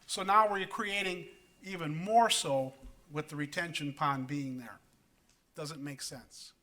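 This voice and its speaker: Resident guy at a city meeting, bright kinda high voice, lots of ums and restarts. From Wisconsin. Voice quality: big voice. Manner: earnest, halting, with many ums and restarts. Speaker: resident speaking at public comment. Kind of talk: public meeting comment. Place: council chamber.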